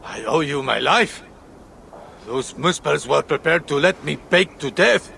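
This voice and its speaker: A bright, gravelly, earnest miner. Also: male voice, a little high, animated, unhurried, slight background noise, exaggerated voice. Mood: grateful, surprised. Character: grateful male mine worker, earnest and helpful. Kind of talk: video game cutscene acting.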